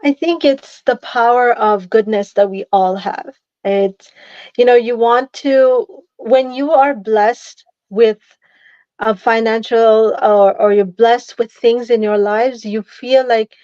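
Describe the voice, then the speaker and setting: A woman's voice: a little high, steady tone, unhurried, darkish tone, no room sound. A woman in her thirties from Tanzania, a guest speaking earnestly with fillers and restarts. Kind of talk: casual interview. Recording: dull dry remote audio.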